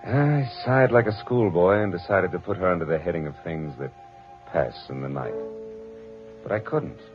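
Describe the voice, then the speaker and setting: An actor playing a world-weary, wry private detective in a 1940s radio drama, narrating and wisecracking. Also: male voice, low-pitched, moderate pace, music in the background, natural voice. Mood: weary, reflective. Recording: dull vintage radio recording.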